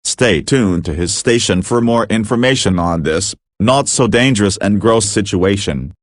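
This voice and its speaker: Low-voiced man reading a mock emergency alert. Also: expressive, moderate pace, no echo, studio quality. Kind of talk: scripted mock emergency broadcast narration.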